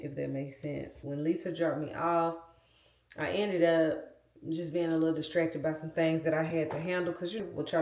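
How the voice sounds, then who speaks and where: A woman's voice: on the low side, background noise. An American woman in her late thirties chatting casually and slangily to her viewers like friends, as a lifestyle vlogger. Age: late 30s. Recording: loud music behind the voice. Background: loud background music.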